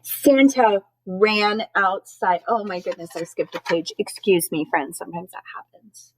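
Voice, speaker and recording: A woman's voice: mid-range voice, a little slow, low-quality recording. A woman teacher reading a children's storybook aloud, expressively, with chatty asides that explain things simply to young listeners. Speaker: schoolteacher.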